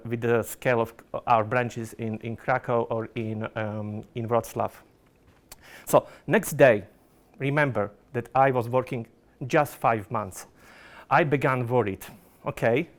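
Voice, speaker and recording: Slovak guy presenting at a conference, lots of uhs and restarts, middle pitch voice. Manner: halting, many fillers and restarts, non-native phrasing. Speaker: product manager giving a talk.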